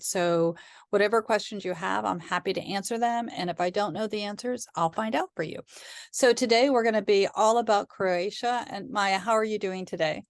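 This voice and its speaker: A woman's voice: mid-range voice, dry recording. A woman hosting a casual travel podcast, talking chattily with frequent ums. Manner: friendly, chatty, filler-laden. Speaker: host.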